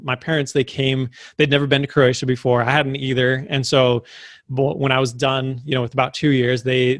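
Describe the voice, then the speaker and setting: A man's voice: mid-range voice, dry recording, studio quality. A young man giving a casual motivational talk, full of "like" and "right?" fillers. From United States. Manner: filler-heavy, many "like" and "right?". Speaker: summit speaker.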